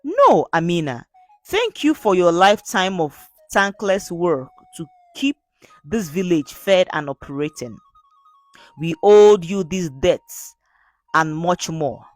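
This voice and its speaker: A woman's voice: fairly low pitch, big pitch swings, takes it slowly, a little mellow, no room sound, soft background music. A woman voicing an earnest, remorseful village man in a folktale, speaking solemnly and formally. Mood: earnest gratitude.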